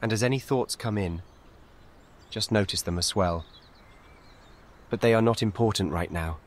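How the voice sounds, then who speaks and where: A man guides a meditation as scripted narration, speaking calmly in measured, soothing, short and gentle instructions. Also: on the low side.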